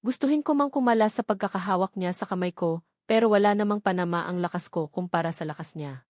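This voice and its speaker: A Filipino-accented woman narrates a first-person novel as an audiobook reader. Her voice sits at an ordinary middle pitch for a woman. The sound is dry, with very little room around it. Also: fast, a little mellow, dry recording.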